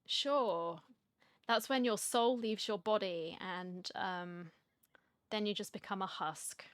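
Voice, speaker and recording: A woman's voice: medium pitch, takes it slowly, fairly bright tone, clear, resonant tone, no echo. Dry-sounding woman game streamer. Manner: jokey, dry asides. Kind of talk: casual playthrough commentary.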